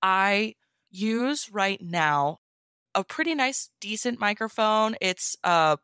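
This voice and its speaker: Bright, crisp-voiced woman chatting casually. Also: mid-range voice, lively intonation, slow pace, dry recording, clean studio sound. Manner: chatty, informal, explains step by step. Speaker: podfic narrator being interviewed. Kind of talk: casual interview chat.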